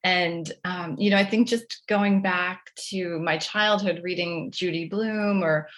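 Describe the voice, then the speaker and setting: A woman's voice: lowish voice, steady tone, slow. A middle-aged woman telling chatty, self-deprecating anecdotes as a guest author in a conversational interview.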